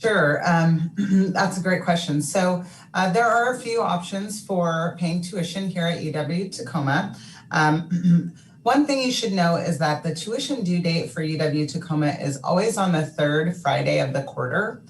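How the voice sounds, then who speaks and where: A woman from a student finance office answering a question on a panel in a reassuring way, with many fillers and some throat clearing. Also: lowish voice, unhurried. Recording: echoey room, distant mic, faint noise.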